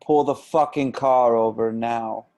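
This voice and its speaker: Man playing a flat, menacing henchman. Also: medium pitch, measured pace, dry recording, natural voice. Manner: blunt, deadpan. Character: cold, menacing male henchman. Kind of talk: table read of a film script.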